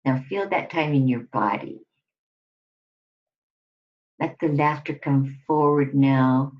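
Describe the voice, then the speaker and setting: A woman giving a scripted guided relaxation exercise, instructing deliberately in short phrases with pauses between them. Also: a bass voice. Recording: dull lo-fi recording.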